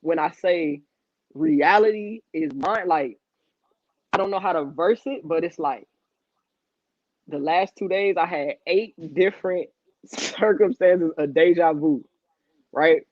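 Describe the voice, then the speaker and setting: A man's voice: on the high side, slow, long pauses, dry recording. A man in his twenties, the guest of an interview, telling his life story in casual, profane street talk with frequent laughs. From Long Beach, California. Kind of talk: candid storytelling. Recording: dry, muffled lo-fi audio.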